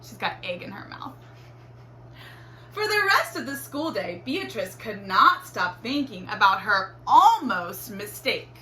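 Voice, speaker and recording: A woman reading a children's storybook aloud in an expressive, deliberate teacherly way. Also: mid-range voice. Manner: animated, deliberate storytelling with acted exclamations. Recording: roomy echo, faint background noise.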